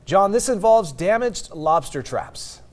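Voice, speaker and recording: Crisp male studio news anchor. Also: high voice, lively intonation, measured pace.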